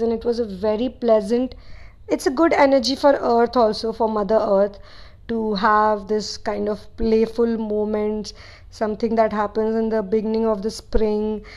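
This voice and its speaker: A woman's voice: on the high side, a little mellow. Chatty Nepali-born woman spiritual channeler. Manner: chatty, rambling, many "you know" asides. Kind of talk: casual spiritual talk to viewers.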